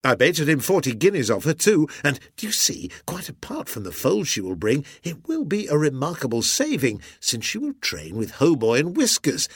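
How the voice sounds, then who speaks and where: A man's voice: on the high side, wildly swooping pitch, moderate pace, hardly any pauses, breathy edge, dry recording, clean studio sound, voice pushed up, heavily acted. A man voicing a hearty, beaming sea captain in an audiobook, with an exuberant, bluff manner and old-fashioned nautical phrasing. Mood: eager, boastful.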